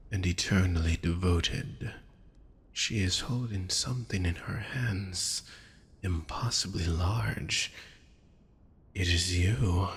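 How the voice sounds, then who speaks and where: A man playing a suave, possessive vampire fortune teller in an intimate audio roleplay, speaking formally and theatrically with breathy murmurs. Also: low-pitched, heavily acted. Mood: entranced, possessive. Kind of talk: intimate scripted audio roleplay addressed to the listener.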